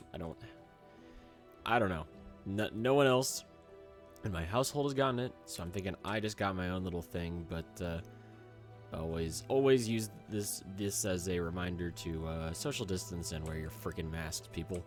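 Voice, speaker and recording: A young man hoarse from a cold, rambling casually with lots of fillers as he hosts his gameplay commentary. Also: sits low, normal speed, soft background music. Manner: rambling, filler-heavy and chatty. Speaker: gaming channel host.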